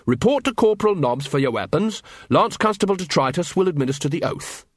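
A man playing a keen, earnest watch corporal with a brisk, cheerful, commanding manner. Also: fairly high pitch, lively intonation, dry recording, studio quality, pitched above the performer's own voice. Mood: confident, commanding.

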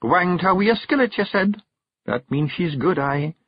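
A male narrator voicing a shrewd young fairy wife and clan mother with a Scottish accent, sweet, measured and quietly menacing. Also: high voice, lively intonation, breathy edge, dry recording, highs cut off, voice pushed up, full character voice. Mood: sweetly stern.

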